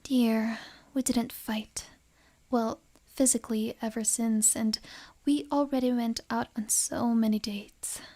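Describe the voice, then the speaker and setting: An actress playing a wealthy, possessive noble lady in an ASMR roleplay, speaking softly and breathily with refined, measured delivery and menace under a gentle facade. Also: on the high side, fairly even intonation. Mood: earnest. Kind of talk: ASMR romantic roleplay acting.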